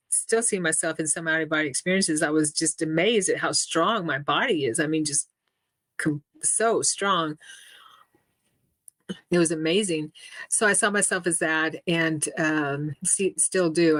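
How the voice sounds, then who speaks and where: Low voiced middle aged woman, keeps stopping and restarting, lots of ums, dry sound. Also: a bit bright, no room sound. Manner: halting, many restarts and fillers, laughs often. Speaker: interview guest. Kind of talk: casual spoken interview.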